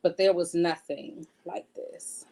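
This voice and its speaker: A woman's voice: fairly low pitch, fairly even intonation, slow pace, creaky, mellow. A woman speaking casually and conversationally as an interviewed author.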